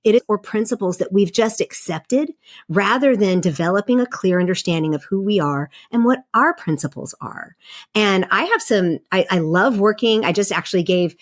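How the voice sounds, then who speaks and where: Woman, 50s, interview guest, earnest, emphatic, medium pitch. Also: lively intonation, moderate pace. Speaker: podcast guest, leadership coach. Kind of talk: casual interview conversation.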